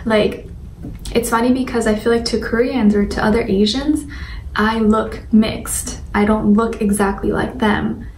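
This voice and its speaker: A young woman talks in a loose, informal vlog style with lots of filler words. Her pitch is average for a woman. The sound is echoey and a little distant, with faint noise behind her. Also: little pitch movement, measured pace, darkish tone. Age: young adult. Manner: informal, rambling, filler-heavy with frequent "like". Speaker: vlogger. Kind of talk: casual chat to viewers. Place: indoor room at home with a sunlit window. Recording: roomy echo, distant mic, faint noise.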